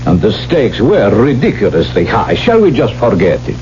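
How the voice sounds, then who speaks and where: A smooth, calculating suitor, wildly swooping intonation. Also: male voice, lowish voice, wildly swooping pitch, a little mellow. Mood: smugly polite. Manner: formal, measured, courtly. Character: smooth, calculating older suitor gambler, scheming for a bride. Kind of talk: 1970s radio drama acting. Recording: muffled, hissy old radio broadcast.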